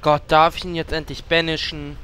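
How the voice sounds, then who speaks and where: A man's voice: a little high, little pitch movement, normal speed, sharp tone, a little hiss or hum. A man playing a cocky, impatient warlock with a cheeky, boastful manner in a German fantasy parody audio drama. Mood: pleading, impatient. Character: Dimitri, cocky impatient trigger-happy warlock.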